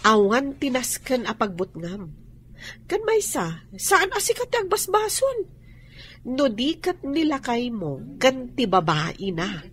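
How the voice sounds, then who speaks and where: A woman's voice: a little high, wildly swooping pitch, takes it slowly, slight background noise, heavily acted. An actress playing a gossiping female friend in a radio drama, chatty and insinuating, with an Ilocano accent. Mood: gossipy.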